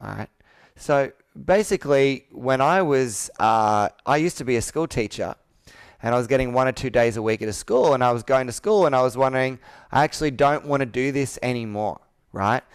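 A man's voice: mid-range voice, lively intonation, brisk, clear, resonant tone, dry recording. A man in his thirties coaching on a sales call, telling personal stories earnestly and asking persuasive rhetorical questions. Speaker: business coach. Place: indoor room with whiteboard, video call.

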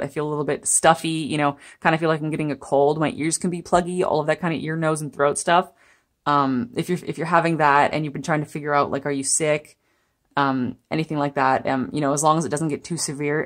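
A young woman giving a chatty, meandering tarot reading to viewers, laughing now and then as she talks. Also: low voice. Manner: chatty, meandering, gentle, laughs while talking. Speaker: tarot reader. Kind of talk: pick-a-card tarot reading. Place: indoors at a table.

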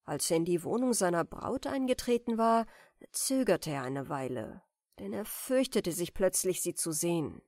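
Gentle, expressive woman reading a bedtime tale. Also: fairly low pitch, dry recording, clean studio sound. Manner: soft, deliberate, expressive. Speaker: audiobook narrator reading fairy tales.

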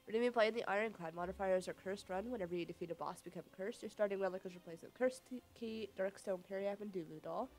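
A young woman sniffly with a cold, thinking aloud in low-key, casual commentary as she plays a video game. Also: fast, few pauses, a little mellow, rough recording. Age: young adult. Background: game music.

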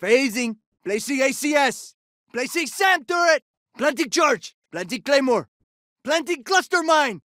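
A man's voice: very high voice, animated, a little slow, pushed and bright, dry recording, studio quality, heavily acted. A man playing a cocky, wisecracking soldier in a video game, delivering short, punchy combat callouts. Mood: businesslike. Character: cocky, jokey male soldier, sporty ex-jock type. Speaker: video game operator character.

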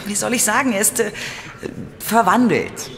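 An actress playing a spirited, exasperated private detective in an audio drama, defensive and wry. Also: female voice, medium pitch, normal speed, a bit bright, lightly acted. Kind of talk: German audio drama dialogue. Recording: long reverb of a hall.

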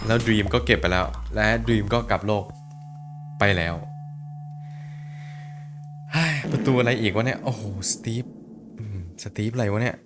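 A man hosting a reaction video, talking casually with excitable outbursts and repeated words. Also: fairly low pitch, normal speed, pauses often, music in the background.

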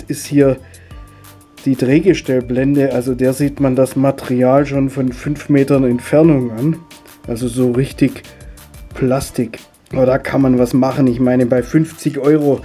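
A middle-aged man, stuffy and husky from a cold, chatting his way step by step through a hands-on hobby tutorial. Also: mid-range voice, dark tone. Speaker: model railway hobby video host.

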